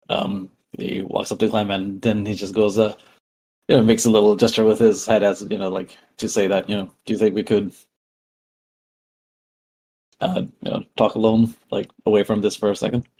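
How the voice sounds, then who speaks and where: A man's voice: on the low side, level tone, moderate pace, a little mellow, husky, low-quality recording. A man casually improvising narration as a tabletop game player, hesitant and thinking aloud.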